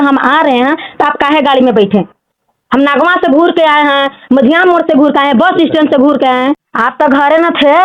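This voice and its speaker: A woman with a Jharkhand Bhojpuri-influenced Hindi accent, arguing insistently and repeating her points in a heated phone conversation. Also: high-pitched. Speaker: caller in a personal dispute. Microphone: phone call. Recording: dull lo-fi recorded phone call.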